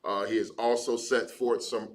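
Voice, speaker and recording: A man's voice: fairly low pitch, level tone, normal speed, a little hiss or hum. A man teaching a Bible class in a casual, conversational way, using fillers as he explains. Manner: casual, explaining with fillers like "you know what I mean".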